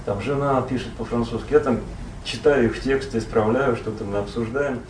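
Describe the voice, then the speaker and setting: A man from Russia, a poet and translator, recalls his past as a guest in a relaxed, rambling conversation full of fillers and self-corrections. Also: mid-range voice, brisk, echoey room, distant mic, slight background noise, poor audio.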